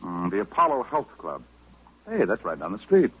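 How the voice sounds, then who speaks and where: An actor playing a wisecracking, hard-boiled private detective with a dry, sardonic delivery in old radio style. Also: male voice, lowish voice, wildly swooping pitch, normal speed, breathy edge, heavily acted. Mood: dry sarcasm. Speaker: lead detective and narrator. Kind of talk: 1940s-50s radio detective acting. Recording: old radio broadcast, very narrow muffled band.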